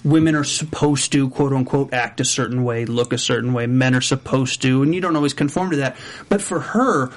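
A man's voice: mid-range voice, a little fast. A man hosting a casual podcast chat, talking loosely, laughing and thinking aloud as he leads the talk. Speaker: podcast host. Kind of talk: casual chat about a reality show.

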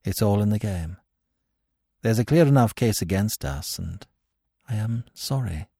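Male narrator as young woman thief, cynical, world-weary, husky, measured, dry close sound. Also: fairly low pitch, lively intonation, warm tone, dry recording, exaggerated voice. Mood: resigned. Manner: slow, cool delivery.

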